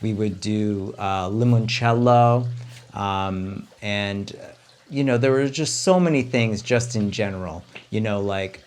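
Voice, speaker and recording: A young man who grew up speaking Italian first, chatting casually as a winery owner, with a relaxed manner and frequent ums. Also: on the low side, slow pace. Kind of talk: casual chat to camera.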